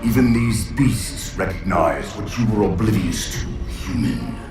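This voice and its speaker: An actor playing an ancient, vengeful alien warlord in a video game, grandiose and contemptuous, delivering pompous, theatrical pronouncements. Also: male voice, low voice, a little slow, distant mic. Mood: bitter contempt. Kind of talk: dramatic villain monologue in a video game. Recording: cavernous echo from afar, orchestral music behind.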